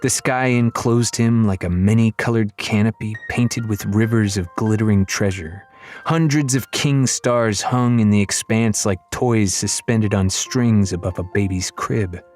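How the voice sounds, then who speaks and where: A man reading a children's fantasy novel as an audiobook narrator, in expressive, dramatic storytelling style. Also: lowish voice, a little slow, soft background music.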